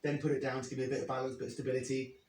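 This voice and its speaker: A man in his thirties, slightly hoarse after losing his voice, explaining and demonstrating leg exercises step by step as a fitness coach. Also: mid-range voice, flat delivery, very fast, background noise. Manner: plain, step-by-step coaching while demonstrating moves. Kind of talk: instructional workout demo. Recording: echoey, from a distance.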